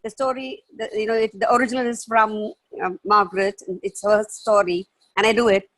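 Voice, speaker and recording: A woman's voice: medium pitch, little pitch movement, brisk, fairly bright tone, poor audio. A woman storyteller whose first language is Tamil, speaking earnestly and enthusiastically on a video call. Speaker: storyteller, participant. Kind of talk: casual remarks on a video call.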